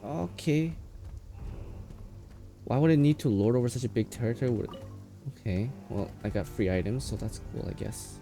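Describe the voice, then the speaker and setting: A man's voice: mid-range voice, moderate pace, gravelly, long pauses, warm tone. A man doing casual live commentary as he streams a video game, reacting aloud as he plays. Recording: game music behind the voice. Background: video game music.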